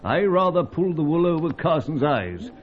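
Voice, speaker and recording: Animated, jovial British knight. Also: male voice, fairly high pitch, normal speed. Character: jovial senior British knight, avuncular club gentleman. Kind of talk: old-time radio serial acting. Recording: muffled radio serial sound.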